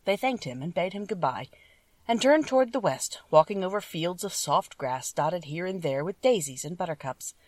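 Female storybook narrator, slightly low voice, very dry room, sitting a little back from the mic. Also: expressive, moderate pace, no room sound, recorded from a distance. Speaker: audiobook narrator. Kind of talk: scripted children's story narration.

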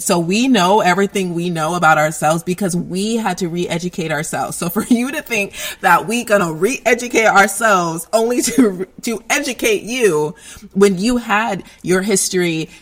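A young American woman with a somewhat low voice talks in a casual chat. She is animated and slangy, and laughs often as she talks. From United States. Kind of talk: casual conversation.

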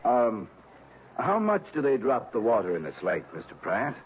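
A tinny, noisy vintage radio recording holds an uneasy murder suspect. His voice swings in pitch, stiffly polite and halting, with suspicion underneath. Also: huge pitch swings. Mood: suspicious, nervous. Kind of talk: 1960s American radio drama acting.

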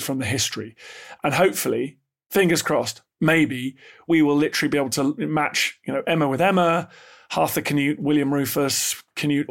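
A man's voice: mid-range voice, creaky. A man hosting a conversational interview, asking curious and probing questions. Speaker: host.

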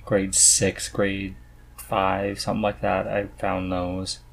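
A man hosting a podcast, chatting casually and haltingly as he thinks aloud. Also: low voice, monotone, creaky. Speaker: podcast host. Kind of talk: casual conversation about reading.

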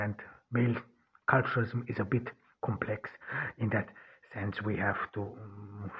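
A man from Turkey hosting his own podcast, talking alone in a halting way with many restarts and fillers. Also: on the low side, vocal fry, slightly rough, narrow, tinny audio. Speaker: podcast host. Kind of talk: casual solo podcast talk.

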